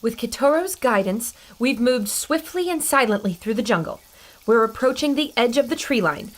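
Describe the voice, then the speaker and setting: A woman playing a quick-witted adventurer. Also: fairly high pitch, lively intonation. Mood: earnest. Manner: earnest, alert narration and dialogue. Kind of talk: audio drama acting.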